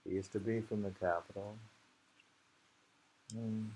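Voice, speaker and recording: Slow, low-pitched man musing over poems. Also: fairly even intonation, darkish tone, breathy edge, rough recording. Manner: musing, halting, pauses often. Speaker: poetry reader and commentator. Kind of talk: informal poetry reading with musing commentary.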